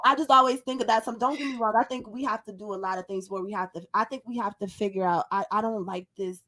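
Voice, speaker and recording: An American woman co-hosts a casual podcast. She is opinionated and emphatic, addressing listeners directly in a mid-pitched voice. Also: fairly bright tone. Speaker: podcast co-host. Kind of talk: casual chat.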